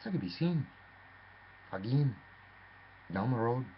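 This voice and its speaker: A man's voice: medium pitch, animated, unhurried. A man telling a rambling, theatrical story, addressing the listener as "lad". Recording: dull lo-fi with faint noise.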